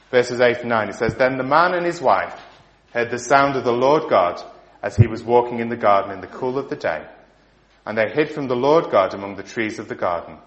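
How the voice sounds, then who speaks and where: A middle-aged man preaching a sermon, asking the listeners questions and dropping to soft endings, his voice giving out. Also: medium pitch, muffled recording. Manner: rhetorical questions, emphatic rises and soft endings. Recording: echoey hall.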